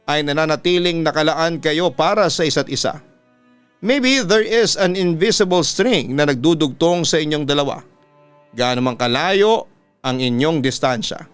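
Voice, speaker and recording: A Filipino man reading listener letters as a radio storytelling host, with a smooth, clear voice. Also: on the high side. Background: instrumental music.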